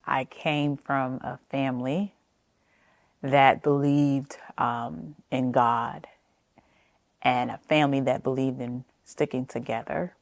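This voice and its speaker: A middle-aged woman speaks in a strikingly deep voice, telling her family's history in an oral history interview. She is gentle and unhurried, pausing often with a soft "um". The sound is close and dry, with no room echo. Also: fairly even intonation, vocal fry. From New Jersey. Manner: gentle and unhurried, with frequent "um" and soft trailing phrases. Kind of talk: reflective personal storytelling.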